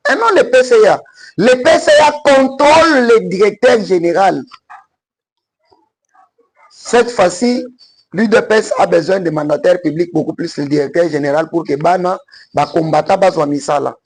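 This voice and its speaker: A Congolese man with a Congolese French accent delivers emphatic political commentary, mixing French and Lingala. His voice sits high for a man, with a gravelly, husky edge. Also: normal speed, poor audio. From Congo (DRC).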